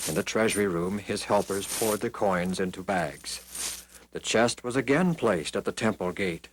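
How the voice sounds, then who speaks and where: A man narrating scripted Bible stories for children in an expressive, deliberate storytelling manner. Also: on the low side. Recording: music behind the reading. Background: background music.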